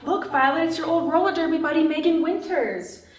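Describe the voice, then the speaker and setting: Woman acting a high, brash, taunting young woman. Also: brisk. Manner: teasing, animated. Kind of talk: screenplay table read. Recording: roomy, distant microphone.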